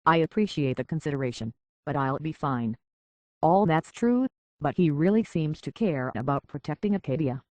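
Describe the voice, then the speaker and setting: A man's voice: fairly high pitch, animated, moderate pace, dry recording, natural voice. A man playing a world-weary, decent android detective in a video game, speaking in a wry, plainspoken, reflective way on slightly dull audio. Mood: somber, sincere. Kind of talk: video game character dialogue.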